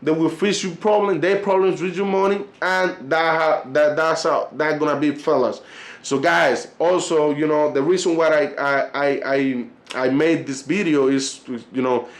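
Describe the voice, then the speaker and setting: Colombian Spanish-accented male vlogger, slightly high voice, rambling direct-to-camera chat, roomy sound. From Cartagena, Colombia. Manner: repetitive, loose, calls viewers fellas. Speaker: vlogger and tour guide. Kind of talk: casual monologue to camera.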